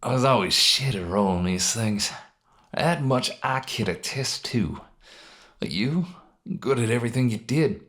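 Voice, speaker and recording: A man voicing a wounded, guilt-ridden robber in a dramatic story, bitter and grieving, with hoarse, folksy, sweary speech. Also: medium pitch, animated, normal speed, a bit bright, pitched above the performer's own voice, natural voice. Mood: wistful. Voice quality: hoarse, breathy at times. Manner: rough folksy talk, swearing, sighing, talking to a dead partner. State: pained, worn out.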